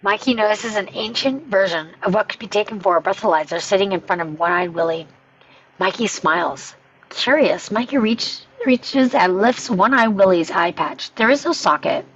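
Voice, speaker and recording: A woman with a slightly husky voice reading scripted stage directions as narration at a table read, in dull sound. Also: mid-range voice, lively intonation, normal speed, slight background noise.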